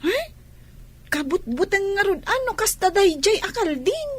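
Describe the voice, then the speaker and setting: A woman's voice: very high-pitched, big pitch swings, a little slow, exaggerated voice. A woman playing an orphaned girl, a protective older sister, in a radio drama. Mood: concerned, caring.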